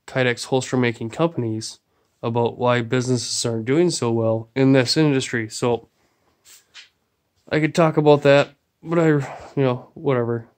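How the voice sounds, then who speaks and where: A man with a nasal, stuffy voice from a cold, casually rambling through a tour of his cluttered garage workshop on a handheld phone. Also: mid-range voice. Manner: rambling, many fillers. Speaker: vlogger. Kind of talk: casual shop tour vlog. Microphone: handheld phone camera.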